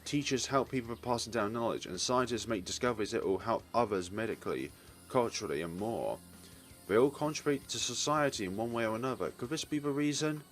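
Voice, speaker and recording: A man's voice: mid-range voice, lively intonation, fairly quick, fairly bright tone, faint music behind. A man reading a visual novel's story aloud as a let's player. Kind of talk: reading narration and character lines aloud.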